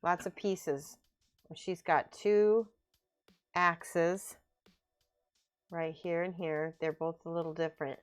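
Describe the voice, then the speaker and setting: A woman's voice: on the low side, fairly even intonation, slow, long pauses. A woman hosting a casual livestream, chatting and laughing as she reads viewer comments aloud. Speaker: miniature painting streamer. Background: faint background music.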